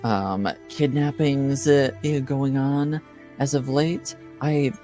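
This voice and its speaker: A man's voice: mid-range voice, steady tone, slow, mellow, soft background music, voice pushed up, lightly acted. A man voicing a chatty male teacher who gossips at a gathering, in an offhand, conversational way. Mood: gossipy.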